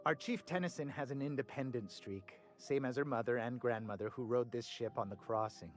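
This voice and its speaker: A man's voice: mid-range voice, a little fast, soft background music, close to an everyday voice. An actor playing a gossipy, cynical male space-station trader in a video game, folksy and opinionated. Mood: wry, confiding.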